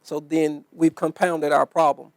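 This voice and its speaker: Higher-pitched creaky man, dry sound. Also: level tone, unhurried, no room sound. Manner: repeats phrases in lists for emphasis. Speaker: interviewee. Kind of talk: serious documentary interview.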